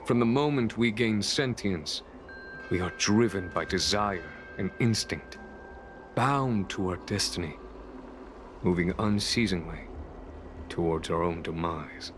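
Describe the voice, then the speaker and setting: An actor playing a solemn, courtly, world-weary man in a video game, speaking formally and deliberately. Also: low voice, music in the background. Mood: solemn, wistful. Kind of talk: video game voice acting, measured storytelling.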